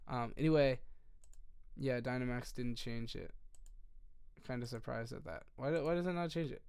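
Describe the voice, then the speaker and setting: A young man with a hoarse voice that keeps giving out, casually walking through a game strategy in a rambling, self-correcting way. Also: mid-range voice, normal speed, darkish tone, no echo, studio quality. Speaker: video creator.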